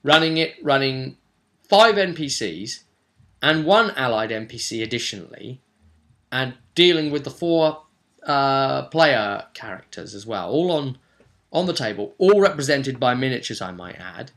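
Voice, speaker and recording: A man's voice: medium pitch, animated, measured pace, fairly bright tone. A man talking casually as a game master recounting a role-playing session, chatty and emphatic, often breaking off into asides.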